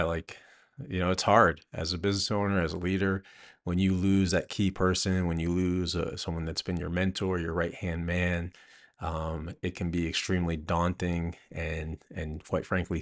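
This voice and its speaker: A man answering interview questions conversationally as an author and host, thinking aloud haltingly with many a "you know". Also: deep voice.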